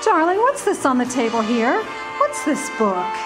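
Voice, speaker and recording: A woman acting in a live community radio play, voicing a tender, excited wife. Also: high voice, normal speed, over music, poor audio. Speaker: actor playing Mary.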